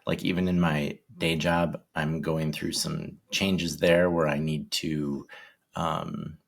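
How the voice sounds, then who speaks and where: A man in his forties, a guest in a casual interview, talking in a shy, halting way with many ums and restarts. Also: very deep voice, level tone, vocal fry. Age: 40s.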